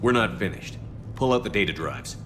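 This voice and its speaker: An actor playing a dry-witted, confident military commander in a video game, speaking curtly and deadpan. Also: male voice, fairly low pitch, normal speed, noisy background, lightly acted. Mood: determined. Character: steady, dry-witted male military commander, space hero. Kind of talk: cinematic video game dialogue.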